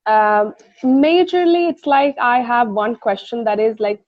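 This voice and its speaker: A woman in her thirties with an Indian English accent, an English teacher chatting casually on an online tutoring call, laughing often and filling pauses. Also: a little high, animated, darkish tone, no echo. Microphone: video call. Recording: dry, muffled video call audio.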